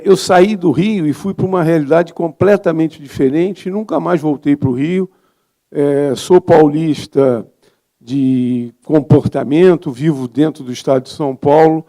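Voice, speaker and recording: A man's voice: a little high, mellow. A Brazilian man in his seventies, a physician giving an informal, reflective talk as a presenter at a congress. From Rio de Janeiro, Brazil. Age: 70s.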